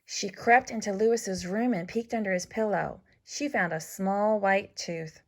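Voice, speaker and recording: A woman reading a children's story aloud as scripted narration, with expressive, deliberate phrasing for young listeners. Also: mid-range voice. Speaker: storybook reader.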